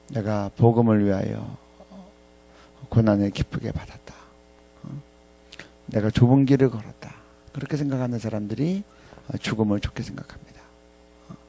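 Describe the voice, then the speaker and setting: A man in his fifties preaching a reflective sermon to ministry staff in a halting way, pausing often and repeating phrases. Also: medium pitch, creaky, mellow, breathy edge. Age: 50s. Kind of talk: reflective sermon with scripture readings.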